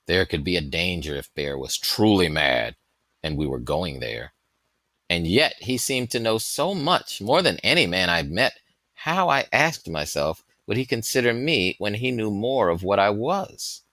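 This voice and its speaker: Deep, forceful male story narrator. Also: no echo. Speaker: audiobook reader. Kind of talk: expressive scripted story narration.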